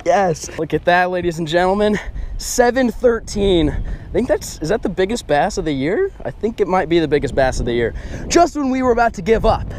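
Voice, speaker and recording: A man's voice: high voice, very animated, a little fast, darkish tone, slight background noise. A young man chatting casually in an exclamatory, slangy fishing vlog style, often repeating his phrases. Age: young adult. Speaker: angler vlogger. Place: outdoors on a bass boat on open water. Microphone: body-worn action camera.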